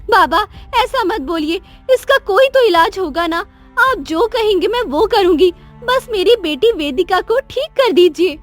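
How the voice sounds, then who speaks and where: Very high-voiced remorseful queen played by a woman. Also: animated, unhurried, faint music behind. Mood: pleading resolve. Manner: earnest, pleading, dramatic. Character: remorseful young queen, anxious mother of a cursed daughter. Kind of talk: Hindi cartoon story dialogue.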